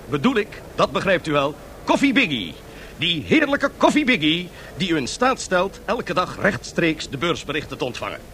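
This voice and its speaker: Against noisy city ambience, a boosterish 1920s stock-exchange radio reporter. His high voice swoops about as he pitches to his listeners in theatrical radio-drama commentary. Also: a bit bright. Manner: theatrical, salesmanlike, addressing listeners directly. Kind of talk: 1970s Dutch radio drama, live broadcast commentary.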